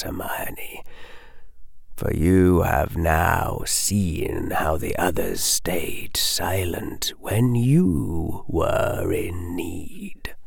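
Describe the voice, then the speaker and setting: A man voicing a sinister cloaked male mist being in a slow, dry, sibilant whisper, coaxing and insinuating. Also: sits low, creaky, darkish tone, dry recording, heavily acted. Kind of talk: audiobook character voice.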